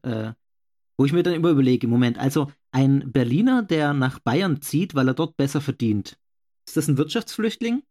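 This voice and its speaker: A man's voice: medium pitch, lively intonation, moderate pace, mellow. Hesitant young man, regional German accent. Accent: regional German, not standard. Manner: agreeable, frequent affirming "ja", hesitant fillers. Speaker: podcast co-host. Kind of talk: casual debate chat.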